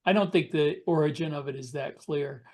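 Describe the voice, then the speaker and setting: A man's voice: fairly high pitch, fairly quick. A man talking casually as a panelist on a podcast.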